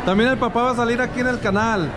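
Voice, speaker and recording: High-voiced Mexican dad vlogging in a crowd. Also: male voice, steady tone, quick pace. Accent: Mexican Spanish. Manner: upbeat, talks to camera and his kids. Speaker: family vlogger. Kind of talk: vlog narration while walking. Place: busy indoor store. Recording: loud crowd and noise.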